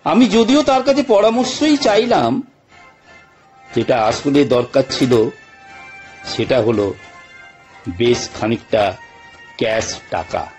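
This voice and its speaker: Slow, very expressive male story narrator. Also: mid-range voice, highs cut off. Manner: theatrical, deliberate phrasing with frequent pauses. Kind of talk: dramatic first-person scripted narration. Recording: music bed behind narration.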